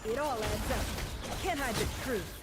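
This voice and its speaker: A woman plays a shrewd, self-assured female sleuth in a fantasy game. Her fairly high voice delivers battle lines over loud music. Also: normal speed, lightly acted. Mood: confident, accusing. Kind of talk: video game battle calls.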